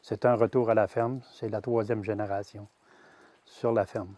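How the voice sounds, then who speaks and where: A man in his sixties with a Québécois French accent, a farmer giving a plain personal testimony, pausing often with fillers and restarts. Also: fairly low pitch, little pitch movement, mellow, no echo. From Quebec. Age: 60s. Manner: hesitant, many "euh" fillers and restarts. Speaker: grain-fed veal farmer.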